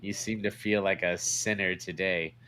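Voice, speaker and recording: A man's voice: sits low, fairly even intonation, moderate pace, bright, forceful tone, clear, resonant tone. A man co-hosting a podcast in a casual, opinionated conversational style. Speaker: podcast co-host.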